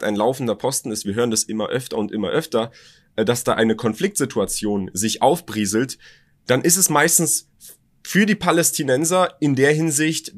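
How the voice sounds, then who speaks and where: A young adult man from Germany with a Berlin German accent, co-hosting a podcast and talking in a reflective, personal way with halting restarts. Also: mid-range voice. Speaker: podcast co-host. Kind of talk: casual podcast conversation.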